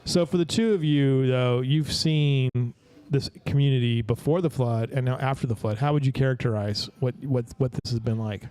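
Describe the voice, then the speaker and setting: Halting, rambling man hosting. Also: medium pitch, a little mellow, clear, resonant tone. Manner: rambling, halting, many restarts. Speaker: host. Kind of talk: casual interview.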